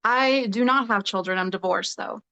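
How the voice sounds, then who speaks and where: A woman in her twenties answers a dating show's questions thoughtfully, pausing on fillers. Her voice is smooth and clear, and it sounds close and dry. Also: mid-range voice, sharp tone, no echo, dull audio. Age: 20s. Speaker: dating show participant. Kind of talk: casual chat.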